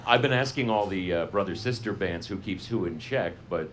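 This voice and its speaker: A man's voice: deep voice, brisk, over music. A man hosting a live radio interview on an outdoor festival stage, joking and teasing his guests. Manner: jokey, teasing banter. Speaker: radio host. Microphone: stand mic on stage. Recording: outdoor live broadcast with faint noise.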